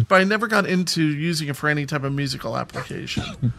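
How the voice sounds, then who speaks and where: Higher-pitched American man chatting on a podcast. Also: expressive, a little fast, a bit bright. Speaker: podcast co-host. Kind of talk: casual podcast chat.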